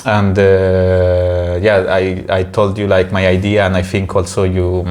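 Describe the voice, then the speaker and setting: A man's voice: low-pitched, flat delivery, unhurried, a little mellow. A young man from Peru with a Spanish accent, co-hosting a casual podcast chat and talking with many fillers and restarts. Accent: Peruvian Spanish. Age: young adult. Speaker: podcast co-host.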